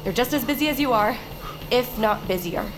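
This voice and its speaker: A woman's voice: on the high side, expressive, brisk, natural voice, low-quality recording. Woman acting as a composed, guarded assistant, music behind. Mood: tense resolve. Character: dutiful, restrained executive assistant, quietly fed up. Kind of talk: sci-fi thriller audio drama.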